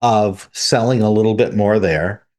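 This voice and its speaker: A man with a fairly low voice co-hosting a podcast. He talks in a measured, step-by-step way, unhurried and conversational. He leans on homespun analogies to make his points. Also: a little mellow. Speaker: podcast co-host. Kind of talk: casual analytical chat.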